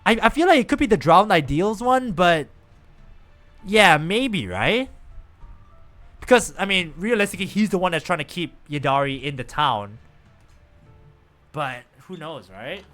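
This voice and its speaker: A man streaming a story game, thinking aloud and reacting with excitement. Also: high voice, unhurried, long pauses, faint music behind. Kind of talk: casual gameplay commentary.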